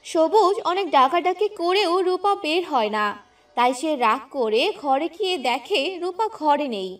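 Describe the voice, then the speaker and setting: A woman's voice: sits high, lively intonation, a little slow, recorded from a distance. A woman reading a scripted fairy tale as its narrator.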